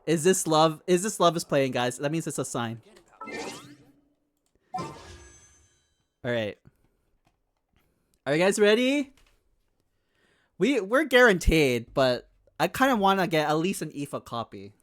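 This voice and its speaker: A man chatting casually on a gaming livestream in an informal, excitable way, often repeating his phrases. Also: fairly high pitch, takes it slowly, pauses often. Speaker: game streamer.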